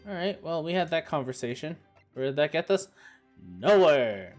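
A man streaming a game, joking and rambling in casual chat, his voice going a little raspy. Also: on the high side, animated, moderate pace, faint music behind. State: voice getting raspy.

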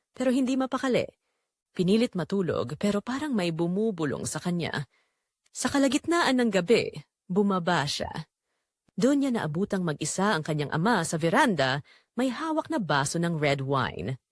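Expressive woman narrator, dry recording. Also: on the low side, no room sound. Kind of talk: dramatic scripted story narration.

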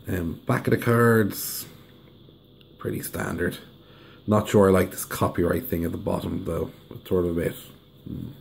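Hoarse, gravelly low-voiced man. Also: darkish tone, slight background noise. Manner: chatty, hums while unwrapping. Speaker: deck reviewer. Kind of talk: casual unboxing review. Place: indoors at a table. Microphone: camera over the table filming hands.

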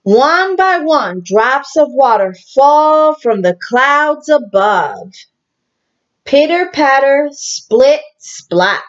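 Female primary teacher for picture-book read-aloud. Very slow, deliberate delivery, animated storytelling, slightly muffled lo-fi sound. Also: high voice. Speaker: teacher reading a picture book to young children. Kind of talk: children's read-aloud with asides to listeners.

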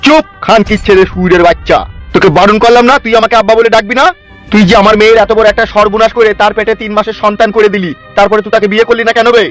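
Man playing a rapid-fire ranting cartoon father. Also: sits high, flat delivery, close to an everyday voice. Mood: furious. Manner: ranting, scolding, exaggerated. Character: furious, foul-mouthed protective village father. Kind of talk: melodramatic comedy cartoon dubbing. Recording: music under the voice.

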